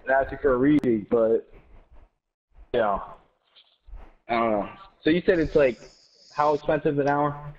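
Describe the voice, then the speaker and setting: A man's voice: mid-range voice, unhurried, long pauses, lo-fi sound. A young man with a New York accent, teasing people during a prank call and game voice chat. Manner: lively, teasing, often laughs. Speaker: prank caller and gamer. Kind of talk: casual chat over voice chat.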